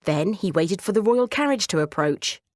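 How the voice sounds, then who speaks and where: A woman's voice: medium pitch, lively intonation, moderate pace, no room sound, studio quality. A woman narrating a scripted bedtime story for children as the storyteller.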